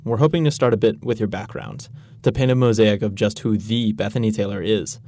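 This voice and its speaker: A man's voice: fairly low pitch, brisk, warm tone, dry recording, clean studio sound. A young man, co-hosting a student interview, who reads a formal scripted introduction of the guest and then asks her questions. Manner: formal, listing credentials.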